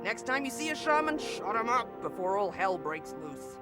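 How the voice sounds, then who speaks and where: A man's voice: high-pitched, big pitch swings, moderate pace, over loud music. A man voicing a folksy, colloquial survivor handing out advice in a video game. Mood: cautioning. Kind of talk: video game character acting.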